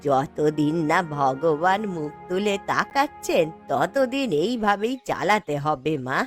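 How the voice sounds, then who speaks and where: A woman voicing a poor, patient old grandmother in a fairy tale cartoon, speaking slowly and gently with a plaintive manner. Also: deep voice, expressive, faint music behind, voice pushed down. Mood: weary, hopeful.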